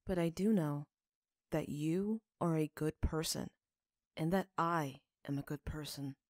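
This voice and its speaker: A woman voicing a gentle, weary, earnest father in a story reading, speaking in a measured way. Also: low voice, no echo, clean studio sound. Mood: sincere.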